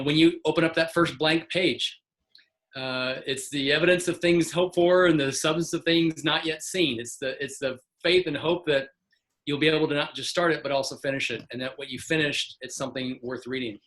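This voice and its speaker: Bright, energetic man in his sixties. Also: a little high, normal speed. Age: 60s. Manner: encouraging, earnest. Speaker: author guest. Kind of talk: casual video call chat. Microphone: video call.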